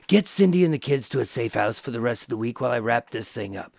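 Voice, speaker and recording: An actor playing a determined undercover agent in a radio drama, measured and guarded as he talks and narrates. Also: mid-range voice, animated, gravelly, a little mellow, no echo, clean studio sound. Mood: guarded, matter-of-fact. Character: determined undercover male agent narrating his mob investigation. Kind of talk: radio drama acting and first-person narration.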